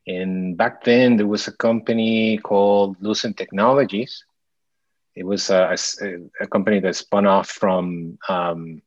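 A man's voice: deep voice, dry recording, highs cut off. A man in his forties from Mexico, a podcast guest talking casually, with many restarts and fillers. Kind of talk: casual interview chat.

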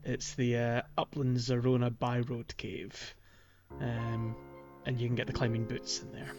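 A man's voice: mid-range voice, completely flat delivery, measured pace, darkish tone, soft background music. Hesitant man chatting on a lo-fi podcast. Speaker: podcast co-host. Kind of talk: casual gaming podcast chat.

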